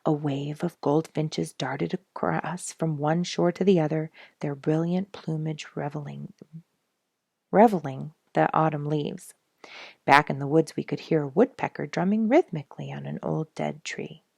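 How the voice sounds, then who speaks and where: A woman reads a nature storybook aloud in a fairly low voice with a noticeable creak. She phrases deliberately and leans on key words for drama, and the sound is close and dry. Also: darkish tone, no room sound. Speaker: children's story reader, regular of the show. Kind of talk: expressive storybook read-aloud narration.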